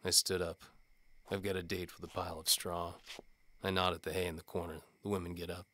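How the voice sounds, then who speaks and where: A man playing a cynical, world-weary knight-for-hire detective, narrating a hardboiled noir audio drama in a deadpan, wisecracking way. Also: low-pitched, fairly quick, dry recording. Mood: weary, wry.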